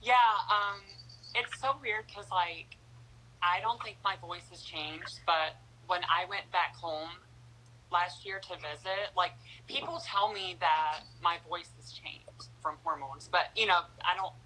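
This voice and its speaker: A woman's voice: on the low side, measured pace, pushed and bright, a little hiss or hum, low-quality recording. A young woman, a guest in a casual interview, who talks freely and fills her speech with "like" and "you know". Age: young adult. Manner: chatty, fillers like "like" and "you know", laughs easily.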